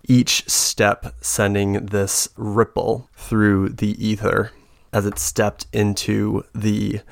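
A man narrating a tabletop game as game master, slow and hesitant with many fillers, getting over the flu. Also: on the low side, vocal fry, no echo, clean studio sound. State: recovering from flu.

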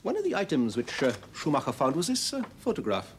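A cold SS major interrogating. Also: male voice, on the high side, wildly swooping pitch, fast talker, slight background noise. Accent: slight German accent. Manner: clipped, coolly interrogating. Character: cold, probing male SS major. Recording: drama clip with music behind.

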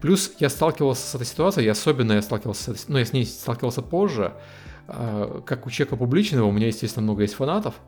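A man's voice: mid-range voice, animated, racing, darkish tone, faint music behind. A man chatting casually as a guest, hesitant with many fillers. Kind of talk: casual conversation. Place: living in the United States.

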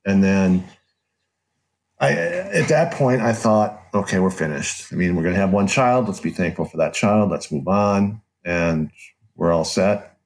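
A man's voice: deep voice, a little slow, creaky. A middle-aged American man telling a personal story conversationally as an interview guest, candid and wry. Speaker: podcast guest, author.

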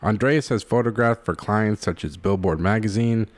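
Low-voiced man hosting a podcast. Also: normal speed, dry recording. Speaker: podcast host. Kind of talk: conversational podcast interview.

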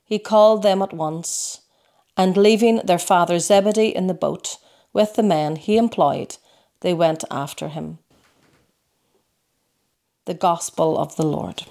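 Slightly low-voiced woman reading scripture. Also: a little slow, gravelly, long pauses, warm tone. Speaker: clergy reading the Gospel.

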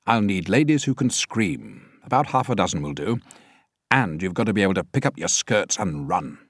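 A man voicing a bossy, showy male film director in an audiobook, brisk and commanding. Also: on the low side, animated, dry recording, clean studio sound, voice pushed up.

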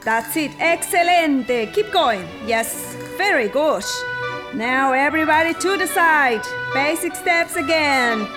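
An exuberant dance instructor in a radio play. She calls out in a high voice, commanding and encouraging, with music clearly playing behind her. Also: expressive, takes it slowly. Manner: calling out counts, encouraging. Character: exuberant Latin dance teacher, commanding and welcoming.

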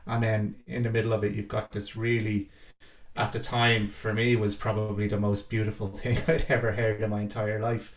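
A man's voice: on the low side, little pitch movement, moderate pace. A man who is a composer talking reflectively about his own work in a hesitant, thoughtful way. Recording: roomy echo, faint music behind.

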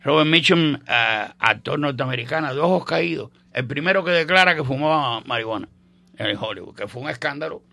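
A man's voice: medium pitch, lively intonation, fairly quick, gravelly, pushed and bright. An older man from Cuba with a Cuban accent, hosting a radio show and chatting about history in a rambling, joking way with many fillers and restarts. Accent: Cuban Spanish. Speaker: radio host. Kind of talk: casual on-air history chat.

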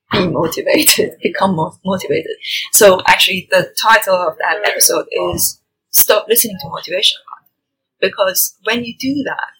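A woman's voice: mid-range voice, vocal fry, fairly bright tone. A woman from Iran in her thirties, a guest in an interview, speaking reflectively with many restarts and fillers in a restaurant. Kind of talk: interview conversation. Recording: noisy restaurant recording. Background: faint restaurant ambience.

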